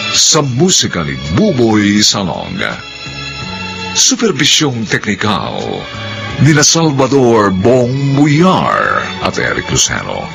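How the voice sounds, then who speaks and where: A man's voice: lowish voice, creaky. A man announcing a classic radio drama in a dramatic, stately style. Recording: music under the voice.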